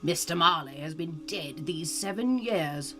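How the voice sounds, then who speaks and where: A woman's voice: deep voice, lively intonation, a little slow, fairly bright tone, faint music behind. A woman voicing a miserly old man in a storybook reading, with a curt, sardonic delivery. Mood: curt, cold.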